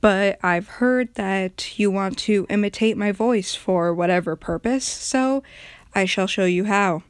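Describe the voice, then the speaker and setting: A woman voicing a solemn, intimidating religious zealot in a low, quiet, emotionless monotone with measured delivery. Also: clear, resonant tone, dry recording, lightly acted. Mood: flat, guarded.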